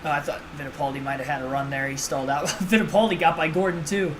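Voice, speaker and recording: 20s guy streaming a racing game, high voice, chatty and hyped, ac hum in the back. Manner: chatty, excitable, quick reactions. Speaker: livestream host. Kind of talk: casual live gameplay commentary.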